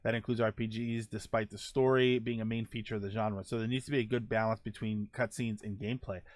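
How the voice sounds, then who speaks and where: A man's voice: lowish voice, level tone, fast talker, no room sound. A man hosting a gaming show, chatting casually with viewers and thinking aloud in a rambling, conversational way. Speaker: host of a gaming show, streamer. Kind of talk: casual chat answering live viewer comments.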